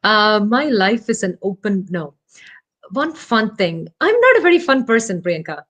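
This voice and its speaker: A woman whose first language is Malayalam, speaking as an interview guest from her home over a remote call. She talks thoughtfully and modestly, pausing and restarting with fillers as she searches for the right words. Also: medium pitch, very animated, fairly bright tone. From India. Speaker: guest, startup CEO. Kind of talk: casual interview talk.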